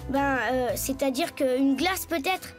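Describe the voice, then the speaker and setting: A clever young cartoon boy with a high voice. He is self-assured and playful, boasting theatrically, with music behind him. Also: slow pace. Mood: bashful. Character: clever, cheerful, boastful young schoolboy hero. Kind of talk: French cartoon dubbing.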